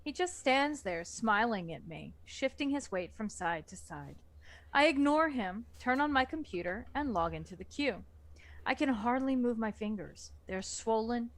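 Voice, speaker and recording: A woman reading a novel aloud in the first person for a casual book club, in a plain, even delivery. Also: medium pitch.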